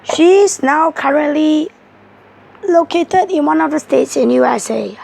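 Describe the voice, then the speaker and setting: High, gravelly, hoarse woman. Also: darkish tone. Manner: rambling, halting delivery. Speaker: tarot reader. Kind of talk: casual tarot video monologue.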